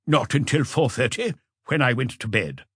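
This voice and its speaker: A man's voice: mid-range voice, expressive, moderate pace, a bit bright, husky, no echo, clean studio sound. A man voicing an observant, self-assured witness in an audiobook, answering in a precise, matter-of-fact way. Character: observant male witness, precise and sure of himself.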